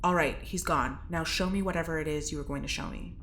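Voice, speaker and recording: A woman's voice: deep voice, fast talker, fairly bright tone, slight background noise. A woman playing a blunt, sardonic young prisoner in an audio drama, curt and dismissive. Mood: dry, grudging.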